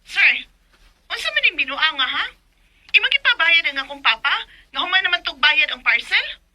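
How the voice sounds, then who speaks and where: A woman's voice: sits high, lively intonation, normal speed, pushed and bright, exaggerated voice. An actress with a Cebuano Filipino accent playing an irate young female customer in a radio drama, scolding and insistent. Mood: indignant. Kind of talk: Bisaya radio drama acting.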